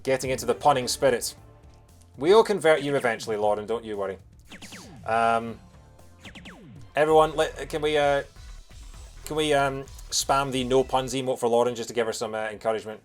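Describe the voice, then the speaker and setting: A man from Lanarkshire with a soft Scottish accent, doing casual, jokey live gameplay commentary as a streamer. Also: mid-range voice, normal speed, pauses often. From Bothwell, Lanarkshire, Scotland. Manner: jokey, punning, animated when reacting to the game. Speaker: video game streamer. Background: game music.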